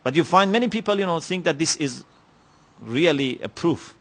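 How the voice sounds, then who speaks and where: Man w/ giving a lecture, slightly high voice, slow and thoughtful, lots of "you know". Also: expressive, fairly bright tone. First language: Persian. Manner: measured, reflective, with many pauses and "you know" fillers. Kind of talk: religious lecture. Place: lecture hall.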